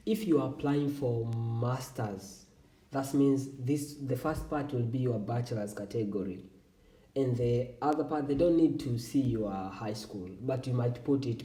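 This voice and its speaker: Tanzanian man, dark, roomy and distant. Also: medium pitch. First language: Swahili. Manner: halting, self-correcting explanation. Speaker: scholarship tutorial host. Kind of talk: screen-recording walkthrough.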